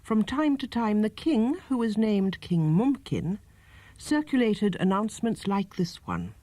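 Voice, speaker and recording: A man's voice: sits high, sing-song, measured pace, dark tone, dry recording. A man giving a scripted storybook narration as an audiobook reader, close to the microphone.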